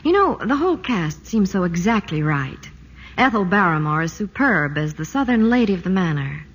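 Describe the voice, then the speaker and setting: A woman's voice: fairly low pitch, very animated, normal speed, slightly rough. A woman delivering a scripted, gushing entertainment report on an old radio broadcast. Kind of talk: scripted sponsor segment.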